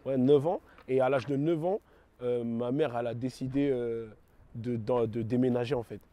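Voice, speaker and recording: Jeune homme à l'de banlieue parisienne, timbre sombre, parle en argot, hésitant et décousu. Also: male voice, mid-range voice, fairly even intonation, moderate pace, dark tone. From France, raised in London. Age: 20s. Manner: slangy, rambling, many fillers and self-corrections. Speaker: testimony giver. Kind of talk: casual spoken testimony.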